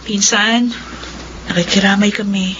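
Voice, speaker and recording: A woman's voice: medium pitch, fairly even intonation, unhurried, dull audio, background noise, lightly acted. An actress playing a reproachful young daughter who nags her gambling father, in a radio drama. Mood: sympathetic.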